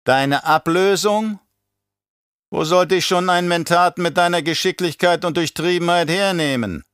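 A man's voice: high-pitched, normal speed, fairly bright tone, clean tone, no echo, studio quality, pitched above the performer's own voice. A man playing a scheming, imperious older baron in an audiobook, with a mocking, condescending, theatrical delivery. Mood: falsely sweet. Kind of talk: audiobook character acting.

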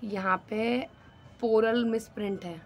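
A woman tutoring for a nursing exam, reading each question slowly and then explaining the answer in mixed Hindi and English. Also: fairly high pitch, fairly even intonation, muffled recording, slight background noise. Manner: mixes Hindi and English, repeats "ठीक है". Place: voice-over on screen recording.

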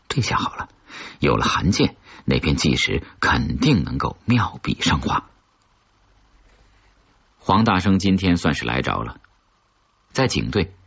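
A man narrating a crime thriller audiobook in a husky, measured, dramatic storytelling manner. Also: very deep voice. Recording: slightly dull, lo-fi audio.

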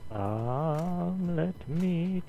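A man's voice: on the high side, lively intonation, extremely slow, gravelly, dark tone, highs cut off, a little hiss or hum. A man in casual gaming chat, laid-back and slangy. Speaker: gamer in a co-op group.

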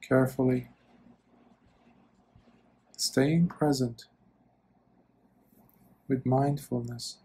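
A man leading a guided meditation as a monk, speaking gently and soothingly in short phrases with long pauses. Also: mid-range voice, steady tone, husky, low-quality recording.

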